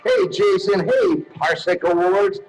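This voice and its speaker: A man's voice: high voice, steady tone, a little slow, rough recording. A man who produces and co-hosts a podcast, giving a recorded acceptance speech. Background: music.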